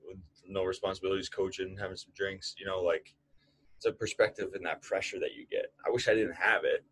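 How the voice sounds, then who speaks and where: A man's voice: deep voice, flat delivery, brisk, a bit bright, husky. A man in his late twenties, a strength coach co-hosting a podcast, talking bluntly in casual chat and swearing freely.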